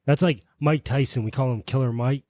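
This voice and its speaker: A man's voice: mid-range voice, a little fast, warm tone, no room sound. A man co-hosting a podcast in casual banter, blunt and joking, with frequent swearing. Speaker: podcast co-host.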